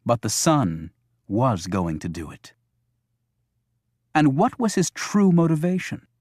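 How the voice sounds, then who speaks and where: Male narrator for thriller audiobook, medium pitch, scripted read, very dry studio-style sound. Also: wildly swooping pitch, takes it slowly, darkish tone, no room sound.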